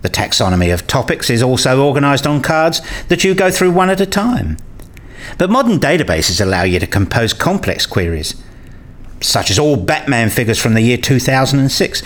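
A man's voice: medium pitch, very animated, normal speed, fairly bright tone. A man acting in a scripted radio play as an eager, opinionated character who explains and argues his ideas. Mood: earnest, argumentative.

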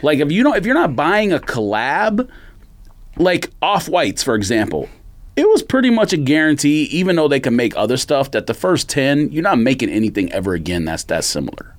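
A man's voice: a little high, big pitch swings, fairly quick. A man on a podcast panel, debating casually in a blunt, opinionated way.

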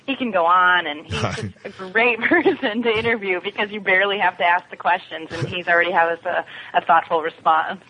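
A woman's voice: lowish voice, brisk, few pauses, sharp tone, a little hiss or hum. A woman chatting casually as a guest on a podcast. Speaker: podcast guest and occasional co-host. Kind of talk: casual chat. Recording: dull, lo-fi remote-call sound.

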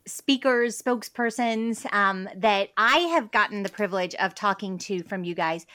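A woman's voice: mid-range voice, expressive, normal speed, sharp tone, no room sound. A woman in her forties hosting a faith podcast, earnest and enthusiastic, with fillers and restarts as she talks. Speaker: podcast host. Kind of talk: conversational interview and sponsor read.

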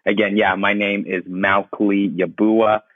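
A young man from Ghana, a podcast guest, telling his story in relaxed casual talk with many fillers. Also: lowish voice, unhurried, dry recording. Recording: dry tinny phone-like line.

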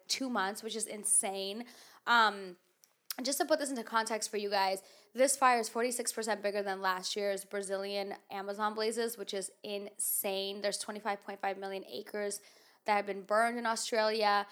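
Woman, nasal, chatty podcast host, lots of fillers, mid-pitched voice. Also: steady tone, normal speed, a bit bright. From United States. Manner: chatty, filler-heavy, rambling. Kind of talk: casual chat.

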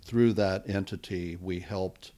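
A middle-aged man, a venture capitalist guest, answering interview questions in a relaxed, rambling way with many fillers. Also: sits low, takes it slowly. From United States.

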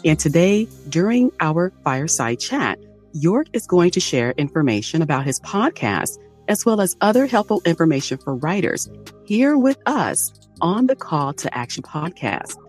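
A woman hosting a podcast interview, encouraging and animated, laughing often. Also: fairly low pitch, normal speed, faint music behind. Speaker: podcast host. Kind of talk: friendly interview chat.

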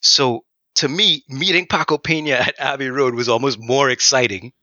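A man's voice: medium pitch, moderate pace, bright, forceful tone, no room sound, muffled recording. A man from Trinidad, a game audio designer, talking casually and animatedly with many fillers in an interview.

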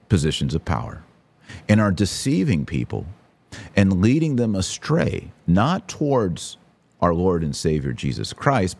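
A low-pitched man hosts a live podcast in a dry, close sound. He talks straight to his listeners with opinionated commentary and responses to their messages. His phrasing is emphatic and preacherly, with an indignant edge. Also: darkish tone, no echo. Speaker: podcast host.

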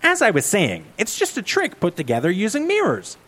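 A bookish young woman sleuth with a smug, know-it-all edge, put on by a man. The voice sits high and bounces around with lively pitch. It is a storybook reading with a comic horror slant. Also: fairly quick, exaggerated voice.

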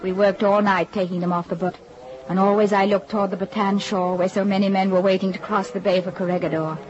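An actress playing a devoted, anxious young army nurse in a vintage radio drama, with earnest emotional delivery. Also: female voice, mid-range voice, level tone, quick pace, lightly acted. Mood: sorrowful. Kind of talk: vintage wartime radio drama acting and narration. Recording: muffled old radio drama with music behind.

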